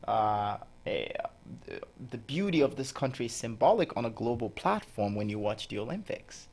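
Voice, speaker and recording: A man's voice: mid-range voice, very animated, normal speed, creaky, darkish tone. Man, hesitant guest. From Nigeria. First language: Hausa. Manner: laughs often, hesitant with many fillers. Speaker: radio guest. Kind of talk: casual radio interview.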